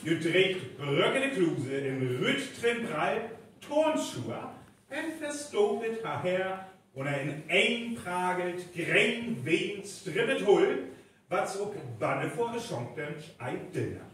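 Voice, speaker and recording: A man's voice: high-pitched, very animated, slow, a bit bright. A man with a North Frisian accent narrating a scripted radio play live on stage. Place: live performance venue. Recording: echoey live stage recording, distant, faint noise.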